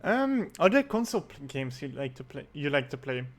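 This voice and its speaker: Higher-voiced man hosting a casual chat. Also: big pitch swings, fairly quick. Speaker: podcast host.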